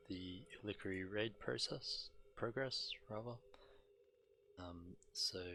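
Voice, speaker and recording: A man giving casual, matter-of-fact and slightly halting gameplay commentary as a streamer, reading on-screen text aloud. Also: deep voice, fairly bright tone. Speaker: game streamer.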